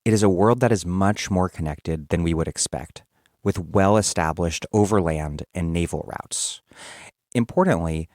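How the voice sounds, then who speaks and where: Very deep-voiced man, dry close mic. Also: darkish tone, no room sound. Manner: measured, reads quotes. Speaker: podcast host. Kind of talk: interview questions, partly read aloud.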